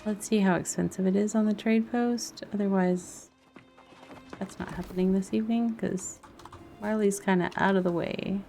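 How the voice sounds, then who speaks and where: A woman's voice: medium pitch, steady tone, moderate pace, creaky, mellow. A woman doing casual live gameplay commentary, thinking aloud and musing to herself as she plays. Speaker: game streamer. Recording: music behind the voice. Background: background music and game sound.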